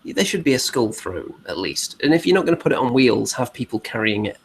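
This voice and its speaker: Lowish-key man chatting over faint noise. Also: very animated, a little fast, gravelly. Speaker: co-host of a hobby show. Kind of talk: casual chat.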